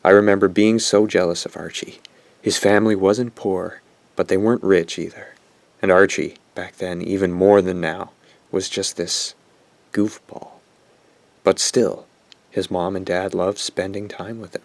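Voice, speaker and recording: A man's voice: deep voice, little pitch movement, measured pace, vocal fry, no echo. A man playing a bitter, guilt-ridden young man who narrates a horror radio drama in a brooding, self-loathing way. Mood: wistful, brooding.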